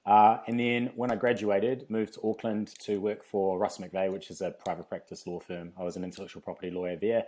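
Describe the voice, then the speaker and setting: A man's voice: lowish voice, level tone, fairly quick, hardly any pauses, dark tone. A man with a New Zealand accent, telling his story casually and chattily as an interview guest. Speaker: podcast guest, fitness company founder.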